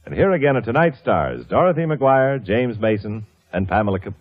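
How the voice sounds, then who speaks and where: Male 1950s radio announcer: formal, measured, deep, thin telephone-like sound over music. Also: big pitch swings. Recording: tinny vintage radio broadcast.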